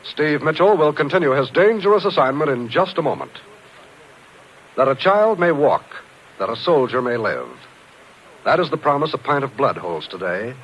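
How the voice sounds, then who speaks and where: Male American radio announcer, earnest and formal, mid-pitched with lively swings, dull vintage 1950s broadcast sound. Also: a little hiss or hum.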